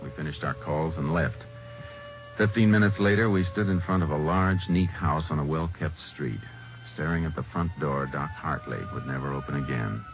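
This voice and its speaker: Very deep-voiced, resolute Texas Ranger. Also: male voice. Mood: somber narration. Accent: American. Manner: terse, measured, polite. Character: resolute, considerate male Texas Ranger investigator. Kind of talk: 1950s radio crime drama acting and narration. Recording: tinny old radio recording with music.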